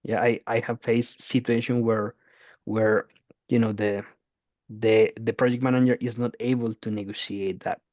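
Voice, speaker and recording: A man answering interview questions in a halting way, often repeating words. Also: lowish voice, little pitch movement, darkish tone, no room sound. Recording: dull, dry lo-fi audio.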